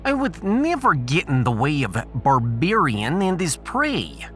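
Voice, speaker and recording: A man playing a sarcastic, excitable, unheroic starship captain in a science fiction audio drama. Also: fairly high pitch, measured pace, soft background music, full character voice. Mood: wry. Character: wry, cowardly but bantering male starship captain. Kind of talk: sci-fi audiodrama acting.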